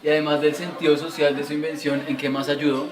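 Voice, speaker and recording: A man's voice: a little high, flat delivery, quick pace, natural voice. A man playing a curious young pupil, questioning in a scripted way in an amateur educational radio play. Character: curious, easily impressed young male pupil.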